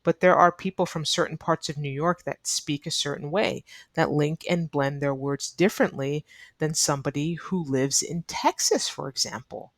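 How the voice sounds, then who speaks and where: A woman's voice: low voice, normal speed, dry recording. Earnest woman teaching English pronunciation. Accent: neutral American. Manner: earnest, repeats key advice for emphasis. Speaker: ESL teacher. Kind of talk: online English lesson, explaining to learners.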